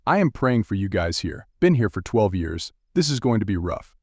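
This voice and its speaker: A man acting as a steady TV weather broadcaster with a fairly low voice, recorded dry and close. He speaks straight to viewers in live severe-weather coverage, giving direct, urgent instructions. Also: normal speed, breathy edge, dry recording, heavily acted. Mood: grave, urgent. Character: steady, urgent male TV meteorologist guiding viewers through storms.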